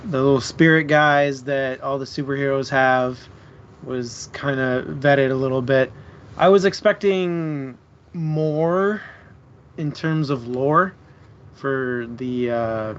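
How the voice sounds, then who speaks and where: A man's voice: medium pitch, a bit bright. A man co-hosting a book club stream, speaking hesitantly as he works out his thoughts. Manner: slow, hesitant, thinks aloud. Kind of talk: casual book discussion. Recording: lo-fi stream audio with faint background hiss.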